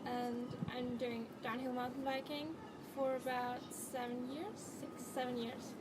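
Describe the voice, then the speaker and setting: A young woman of eighteen from Latvia speaks casually as a panel guest, in a high voice. Loud event ambience and vehicle noise sit behind her. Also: little pitch movement, unhurried. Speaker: panel guest, downhill mountain biker. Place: live panel discussion at a sports festival.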